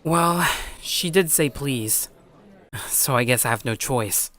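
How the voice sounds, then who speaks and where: A man's voice: medium pitch, slow, sharp tone. Man playing a troubled, earnest schoolboy narrator. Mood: pensive. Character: earnest, troubled teenage schoolboy narrating his own story. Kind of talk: dramatic first-person visual novel narration.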